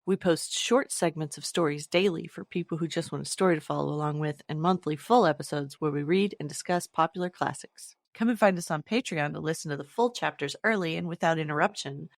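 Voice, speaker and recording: A woman's voice: deep voice, a little fast, gravelly, few pauses, no room sound. A woman podcast host reading a scripted introduction to a story show. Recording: dry voice over faint music.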